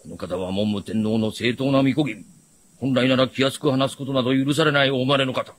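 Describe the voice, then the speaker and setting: A man's voice: mid-range voice, fairly even intonation, brisk, dry recording, lightly acted. A man playing a stern, close-miked shadow retainer. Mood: grave, disdainful. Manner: formal, archaic, deferential yet haughty speech. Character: formal, stern adult shadow retainer of a noble house. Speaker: radio drama actor. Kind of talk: Japanese period fantasy radio drama acting.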